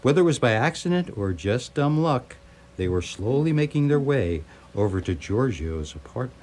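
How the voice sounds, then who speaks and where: A man's voice: medium pitch, big pitch swings, moderate pace, darkish tone. A man reading scripted story narration for radio theatre, with a wry, literary storytelling manner. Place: live radio theatre performance.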